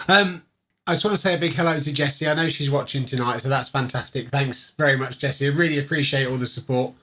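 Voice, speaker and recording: A man's voice: mid-range voice, fast, sharp tone. A man hosting a livestream, talking casually and directly to his viewers. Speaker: host of a livestream channel.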